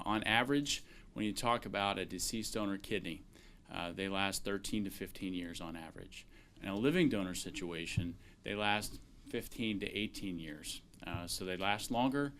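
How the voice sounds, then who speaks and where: A man speaking as a transplant doctor at a press conference, measured and peppered with filler sounds. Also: deep voice, fairly bright tone, slight background noise.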